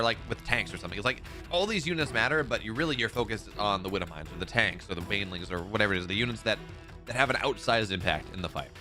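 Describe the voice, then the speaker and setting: A man casting a live strategy game match, excitable in the fights and relaxed and rambling when he chats with viewers. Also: lowish voice. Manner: excitable play-by-play, rising in big fights, relaxed and rambling between games. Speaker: esports caster. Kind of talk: live game commentary and chat reading.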